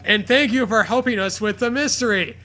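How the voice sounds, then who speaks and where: A chatty cartoon hero, played by a man reading a story for laughs. The voice is high and bright, with mock-earnest delivery. Music runs faintly underneath. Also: fairly quick. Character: casual cartoon male heroes trading sarcastic farewells.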